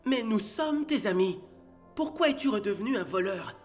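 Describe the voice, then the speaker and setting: An actor voicing a kind, brave little bear in an animated film, earnest and plain-spoken. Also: mid-range voice, moderate pace, long reverb tail, faint music behind, natural voice. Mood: concerned.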